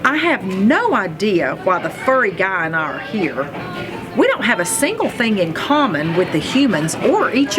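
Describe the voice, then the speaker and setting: Female storybook reader for young children: playful, very lively intonation, slow delivery. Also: lowish voice. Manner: expressive, playful, acts out sounds and exclamations. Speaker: read-aloud reader, school superintendent. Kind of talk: animated children's storybook read-aloud.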